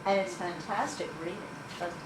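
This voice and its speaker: A woman's voice: fairly low pitch, normal speed. A woman in the audience making an enthusiastic comment in a discussion. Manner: enthusiastic about a book. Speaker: audience member. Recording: echoey room, distant mic, noisy.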